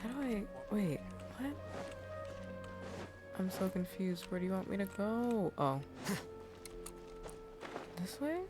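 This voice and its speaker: A woman's voice: medium pitch, lively intonation, slow, long pauses, music in the background. A woman livestreaming a video game, chatting casually and reacting aloud as she plays. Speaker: game streamer. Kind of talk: casual livestream gameplay chat.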